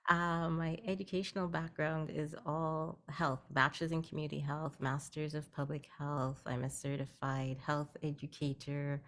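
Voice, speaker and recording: A woman's voice: low-pitched, little pitch movement, normal speed, few pauses, a bit bright. A woman from Guyana, a health educator, explaining her business in a plain-spoken way as a guest on a video call. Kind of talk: virtual networking meeting talk.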